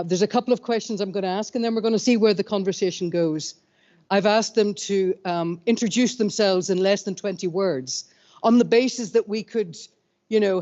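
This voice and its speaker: A woman's voice: mid-range voice, mellow. A woman from Northern Ireland moderating a panel, speaking in a reflective, hesitant way with many fillers. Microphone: handheld microphone. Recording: dull lo-fi sound.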